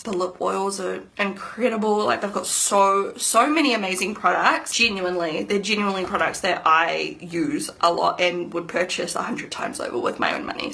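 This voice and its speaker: A woman's voice: mid-range voice, gravelly, fairly bright tone. A woman in her thirties, a beauty vlogger chatting casually to camera in an upbeat, rambling way, slightly nasal from hay fever. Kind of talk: casual makeup review to camera.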